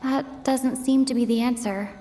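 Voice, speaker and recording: A woman voicing a young traveler in a video game, deliberately reasoning through clues to herself in an inner monologue. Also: fairly high pitch, steady tone, noisy background, close to an everyday voice. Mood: deducing. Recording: long reverb, music behind.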